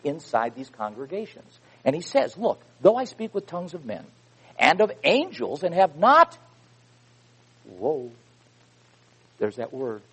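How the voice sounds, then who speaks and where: A man preaching a sermon, reading scripture with loud emphasis and dropping into quiet asides. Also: fairly high pitch, wildly swooping pitch, a little slow, long pauses, breathy edge, low-quality recording.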